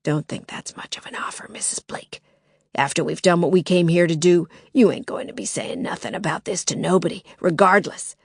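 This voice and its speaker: A menacing masked male intruder, put on by a woman. His voice is thick and harsh like a heavy smoker's, often sinking to a low threatening whisper. It sounds dry and close. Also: fairly quick, breathy edge, no echo.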